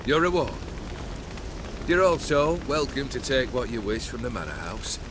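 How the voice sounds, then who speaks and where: A man's voice: medium pitch, very animated, normal speed, background noise, lightly acted. An actor playing a cold, world-weary immortal nobleman in a video game, speaking with arrogant, sardonic, archaic phrasing. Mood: sardonic, dismissive. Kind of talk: video game character acting.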